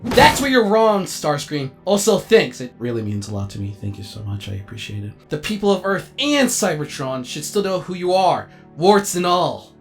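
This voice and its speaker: A man playing a vain, melodramatic robot actor and director in a comedic animated comic dub, with an excitable, grandiose delivery. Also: fairly high pitch, normal speed, creaky, faint music behind. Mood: eager.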